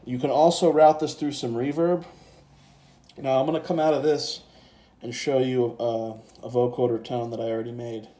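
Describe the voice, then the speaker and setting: A man's voice: medium pitch, fairly even intonation, normal speed, mellow. A man giving an informal, laid-back hands-on demonstration, thinking aloud as he goes. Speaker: presenter of a music gear tutorial. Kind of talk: casual hands-on software and synth demonstration. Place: indoors beside a synthesizer keyboard. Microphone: handheld phone and separate handheld microphone.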